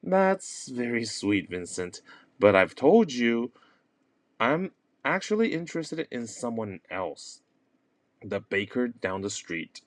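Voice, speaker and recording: Man putting on gentle café girl Rachel. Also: mid-range voice, very animated, takes it slowly, no room sound. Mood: gentle refusal. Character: Rachel, gentle young woman kindly turning down a suitor.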